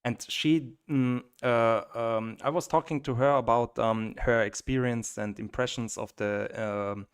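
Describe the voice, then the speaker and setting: A man hosting an interview speaks with a clear, smooth and resonant voice. His pitch sits in the middle range for a man. He pauses often, repeats words and fills the gaps with small hesitations. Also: steady tone. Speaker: interview host. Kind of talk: conversational interview.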